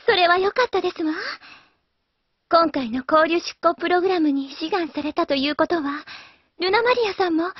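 Very high, serene young lady. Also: no room sound. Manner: very polite, genteel phrasing. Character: serene, formal young noble lady, sweetly earnest. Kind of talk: anime audio drama acting. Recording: dull lo-fi audio. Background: music.

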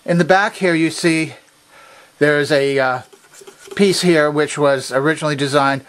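A man's voice: a little high, normal speed, fairly bright tone, smooth. A man giving an instructional demonstration in a methodical, precise, explanatory way. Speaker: demonstrator of a vintage machine. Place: indoor workshop at a workbench.

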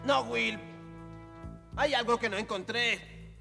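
A man's voice: very high-pitched, slow pace, gravelly, pushed and bright, full character voice. A man voicing a brave, earnest young outlaw hero in a cartoon adventure. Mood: determined. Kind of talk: dubbed animated adventure acting. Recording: long reverb, faint music behind.

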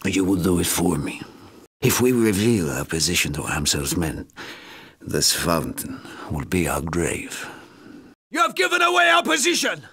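An actor playing a vengeful, commanding middle-aged Soviet sniper in a video game, with a Russian accent, a gravelly, husky voice and dramatic, deliberate delivery. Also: male voice, deep voice, wildly swooping pitch, a bit bright, heavily acted. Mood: desperate, furious. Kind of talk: video game character acting.

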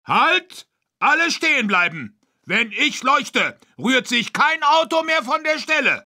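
An actor voicing a bossy, commanding talking traffic-light lamp in a kids' game. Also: male voice, sing-song, slow pace, sharp tone, full character voice. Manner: commanding, shrill.

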